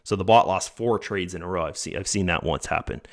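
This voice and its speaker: Low-voiced man, hoarse, rambling commentary. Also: brisk. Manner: rambling, self-correcting, addresses viewers as guys. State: slightly hoarse, losing his voice. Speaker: channel host reviewing a trading bot. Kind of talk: casual screen-share commentary.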